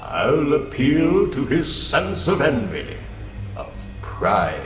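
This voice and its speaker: A man's voice: on the high side, big pitch swings, slow pace. A man voicing an arrogant, scheming supervillain in a comic book dramatic reading, pompous, theatrical and cold. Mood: smug, persuasive. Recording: roomy echo under loud background music.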